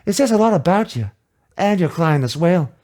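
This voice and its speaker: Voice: mid-range voice, animated, moderate pace, a little mellow, natural voice. A performer voicing a composed, encouraging senior woman lawyer from a video game, light and polite.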